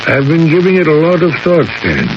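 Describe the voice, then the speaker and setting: A man playing a grave, thoughtful priest in a radio drama, speaking in a measured, earnest and probing way. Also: on the high side, very animated. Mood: solemn, insistent. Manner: measured, earnest, probing questions with deliberate emphasis. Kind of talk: 1970s radio drama acting. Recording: hissy, dull 1970s radio broadcast.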